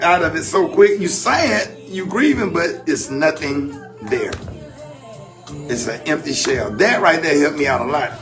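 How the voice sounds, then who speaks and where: An older man with a Southern American accent hosting a radio morning show, talking casually and loosely about faith and grief. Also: a little high. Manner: loose, laughing, drawn-out Southern phrasing. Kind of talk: casual radio banter and reflective talk.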